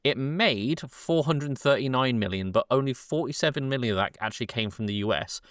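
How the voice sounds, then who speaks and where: A man's voice: medium pitch, expressive, a little fast, a bit bright, dry recording, clean studio sound. A man co-hosting a film podcast, informally steering the chat and laying out facts.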